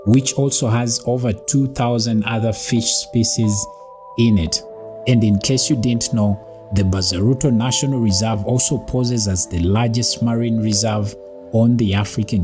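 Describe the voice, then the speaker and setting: A man who hosts a channel, reading a scripted narration of facts in a measured, teaching tone. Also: lowish voice. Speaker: channel host. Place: voice-over with background music.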